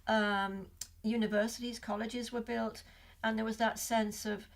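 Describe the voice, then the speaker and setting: A woman's voice: a little high, level tone, measured pace, vocal fry. An older woman with a Liverpool accent, a guest in a casual interview, talking reflectively with many restarts. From Liverpool, England.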